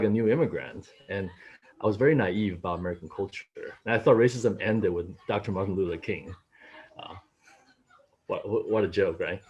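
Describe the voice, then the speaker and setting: A man's voice: fairly low pitch, slightly rough. A man in his late thirties from Taiwan, telling his own experiences on a video call, his voice jumping up when he quotes people. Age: late 30s. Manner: animated storytelling, voice jumps up when quoting. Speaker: panelist. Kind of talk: casual discussion on a video call. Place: home room. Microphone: laptop mic on video call.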